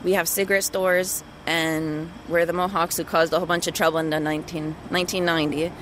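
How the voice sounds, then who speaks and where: A woman's voice: lowish voice, little pitch movement, fairly quick, a bit bright, a little hiss or hum, low-quality recording. A woman, talking casually in an interview, with lots of fillers. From Kahnawake, Quebec, Canada. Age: 30s. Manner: conversational, many "you know" and "kinda" fillers.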